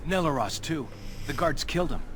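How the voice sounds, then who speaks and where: A man's voice: fairly high pitch, lively intonation, a little fast, background noise, natural voice. An actor playing an anxious young male elf in a fantasy video game, nervous and hesitant, in a reverberant space. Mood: uneasy.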